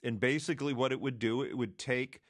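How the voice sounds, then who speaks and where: A middle-aged man with a Michigan accent talks in a loose, rambling way, circling back and restarting his sentences. His voice sits at a middle pitch and is recorded very dry and close, with no room sound. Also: fairly quick, no echo. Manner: rambling, restarts often, explains with computer comparisons. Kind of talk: casual chat.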